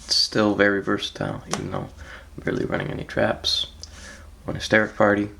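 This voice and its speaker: A gravelly, raspy man who sounds like he just rolled out of bed, his voice sitting on the lower side. He talks through his card deck in a relaxed, hesitant way, filling pauses with ums and letting phrases trail off quietly. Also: slight background noise. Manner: laid-back, hesitant, frequent ums, trails off softly. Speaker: card game player presenting his deck. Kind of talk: casual deck walkthrough.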